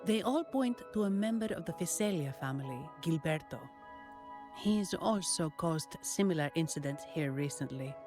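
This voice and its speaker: A woman's voice: deep voice, expressive, normal speed, over music. A woman voicing a composed, wry bank employee in a video game, dry and matter-of-fact. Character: cool, businesslike, wry female bank employee.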